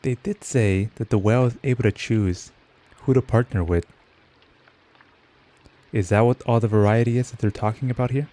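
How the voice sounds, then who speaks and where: A man's voice: mid-range voice, normal speed, long pauses, warm tone. A man giving casual reaction commentary as he plays a game, curious and thinking aloud.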